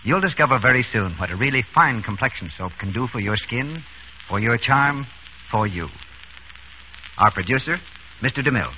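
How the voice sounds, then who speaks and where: A man's voice: mid-range voice, lively intonation, normal speed, long pauses. Male announcer on muffled vintage radio. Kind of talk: scripted 1930s radio advertising. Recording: dull, noisy vintage radio recording.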